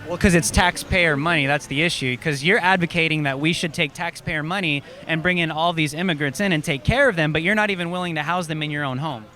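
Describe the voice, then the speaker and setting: A man's voice: on the high side, quick pace, few pauses, pushed and bright. Pushy male street interviewer. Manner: pushy, probing questions.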